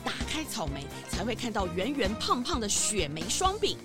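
A woman giving an expressive, scripted narration of a story for children. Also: mid-range voice, normal speed, loud music behind the voice.

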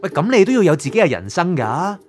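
A man's voice: high-pitched, sing-song, quick pace, faint music behind. A man with a Hong Kong Cantonese accent playing a curious, chatty young man in an audio drama. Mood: curious, probing. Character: curious, chatty young man probing a soul prosecutor's past.

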